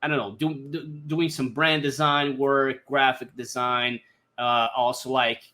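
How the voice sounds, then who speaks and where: Bright-voiced Brazilian man, halting speech. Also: mid-range voice, flat delivery. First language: Portuguese. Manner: halting, many "like" fillers and restarts. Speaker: podcast guest, brand designer. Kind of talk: casual interview storytelling.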